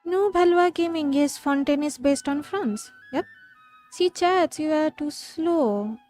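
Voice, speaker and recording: A woman's voice: high-pitched, takes it slowly, a little mellow, soft background music. A woman with an Indian accent chatting casually as she live streams a game.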